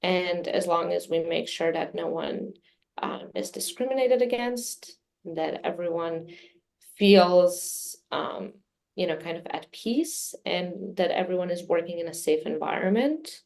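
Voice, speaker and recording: A woman whose first language is Serbian, answering interview questions in a measured way with many fillers. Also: lowish voice, expressive, creaky, darkish tone. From Midwest US. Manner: measured, many fillers, speaks of herself personally. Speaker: communications director, interview guest.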